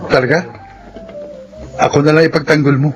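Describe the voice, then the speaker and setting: A man's voice: fairly high pitch, flat delivery, fairly quick, muffled recording, over music, lightly acted. An actor playing a defiant, sullen young man with an insolent manner in a Filipino radio drama. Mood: cheeky, sullen. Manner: insolent, retorting.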